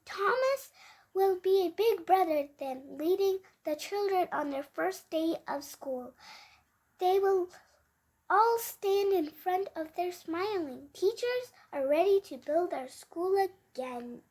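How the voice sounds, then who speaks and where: Female, very high voice, very slow pace, children's read-aloud, expressive, emphatic, word-by-word delivery. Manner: expressive, emphatic, word-by-word reading with occasional stumbles. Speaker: host reading a children's storybook aloud.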